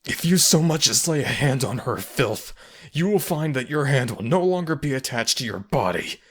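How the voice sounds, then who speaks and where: A man's voice: mid-range voice, lively intonation, moderate pace, fairly bright tone, breathy edge, voice pushed up. A man playing a fiercely protective male kitsune guardian in an ASMR audio roleplay, speaking firmly and with commanding urgency. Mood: threatening.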